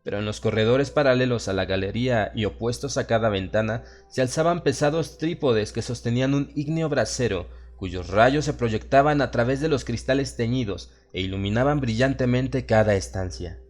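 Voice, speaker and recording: A man with a Latin American Spanish accent narrates a horror audiobook in a solemn, measured, dramatic way. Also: medium pitch. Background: music.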